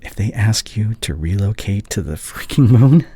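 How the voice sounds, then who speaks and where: Guy playing devoted young ex boyfriend doctor, asmr roleplay, really deep hushed voice, emotional, super dry close sound. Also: expressive, dry recording. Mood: tender, devoted. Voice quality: breathy, hushed, often dropping into whispers. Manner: halting, emotional, confessional. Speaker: audio roleplay performer.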